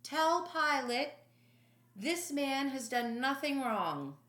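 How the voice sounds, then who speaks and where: Lady doing a snooty jaded old-world king guy, taunting, storybook style, swoopy pitch, roomy echo. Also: sing-song, slow, fairly bright tone, heavily acted. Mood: dismissive, calling out. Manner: taunting, imperious. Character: scornful, jaded male ruler of Galilee.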